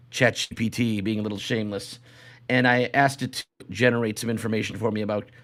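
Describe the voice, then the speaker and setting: A man hosting a casual, comedic podcast, talking in a halting way with repeated and restarted phrases. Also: on the low side. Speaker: show host.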